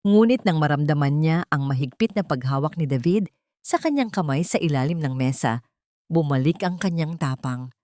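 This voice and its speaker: A woman's voice: sits low, animated, normal speed, darkish tone, no echo, studio quality. A woman giving dramatic scripted narration for an audio drama.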